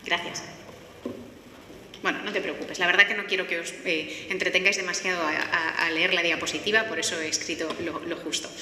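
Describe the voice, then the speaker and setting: A middle-aged woman from Galicia with an accent from Spain, giving a semi-formal public talk in an explanatory, structured way with hesitant pauses. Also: fairly low pitch, flat delivery, sharp tone. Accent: Spanish from Spain. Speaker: lawyer giving a forum talk. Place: indoor forum hall. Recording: echoey hall, faint noise, roomy.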